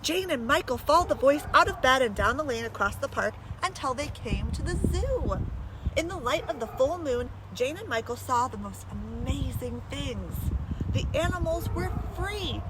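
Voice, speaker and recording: Female storyteller, high-pitched, very lively intonation, chatty with young listeners; windy outdoor recording with loud noise. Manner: animated, addresses young listeners with questions. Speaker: storyteller reading a children's book aloud to listeners. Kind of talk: expressive children's read-aloud with chatty asides. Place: outdoors in blustery wind. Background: wind, urban ambience, vehicles.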